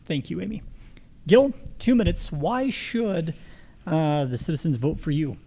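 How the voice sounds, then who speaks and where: A man's voice: fairly high pitch, very animated, unhurried, gravelly, warm tone. An American man moderating a candidate forum, putting questions to the speakers and keeping time. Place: live candidate forum on a college campus.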